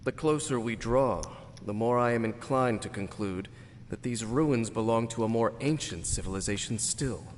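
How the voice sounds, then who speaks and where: An actor playing a solemn, world-weary ancient knight in an anime video game, speaking gravely and formally. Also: male voice, mid-range voice, moderate pace, long reverb tail, a little hiss or hum, natural voice. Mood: coolly authoritative.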